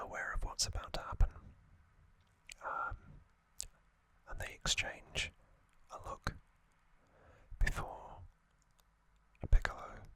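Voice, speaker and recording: A man's voice: pushed and bright, no echo. A man whispering in ASMR style as he recounts trivia, hesitant and full of ums and uhs. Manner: hesitant, self-correcting delivery. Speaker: ASMR creator.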